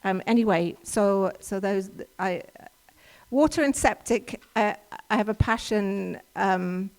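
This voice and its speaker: A woman's voice: mid-range voice, slow, a little mellow. English woman, workshop presenter, chatty and explanatory, lots of restarts. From England. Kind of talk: informal church workshop talk with slides. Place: church hall.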